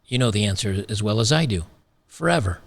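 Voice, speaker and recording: Gravelly-voiced man ranting on commentary. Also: on the low side, animated, a little fast. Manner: emphatic, repeats phrases, sarcastic. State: slightly losing his voice. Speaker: commentary channel host. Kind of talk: opinionated commentary.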